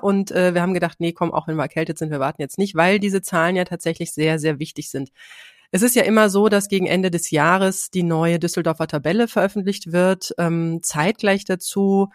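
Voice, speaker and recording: A woman's voice: on the low side, no room sound, studio quality. A woman with a slight cold, co-hosting a podcast and patiently explaining numbers with lots of fillers and restarts. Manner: many fillers and restarts, explains figures step by step. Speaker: podcast co-host.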